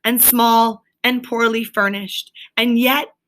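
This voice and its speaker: A woman's voice: a little high, fairly even intonation, slow pace, a bit bright, rough recording. A woman teacher reading a story aloud and explaining it to pupils, with an emphatic, questioning delivery.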